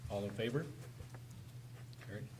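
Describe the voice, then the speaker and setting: A man with a hoarse, raspy voice chairing a formal council meeting, calling motions briskly in a council chamber. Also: lowish voice. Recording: noisy room recording.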